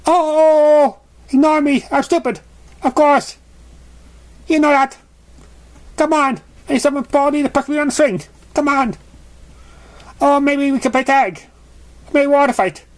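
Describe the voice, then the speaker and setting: A squeaky-high, naive young mare voiced by a man from a storybook reading. She is hyperactive and eager to play, chattering excitedly and repeating herself. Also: measured pace, pauses often. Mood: excited, pleading.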